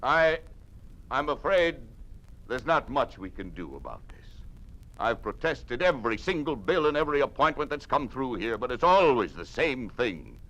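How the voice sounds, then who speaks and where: An actor playing a weary, principled governor in an old-time radio drama, speaking in earnest, measured oratory. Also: male voice, mid-range voice, sing-song, fairly bright tone, low-quality recording. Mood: resigned, pleading. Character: weary, principled male governor resisting a totalitarian regime.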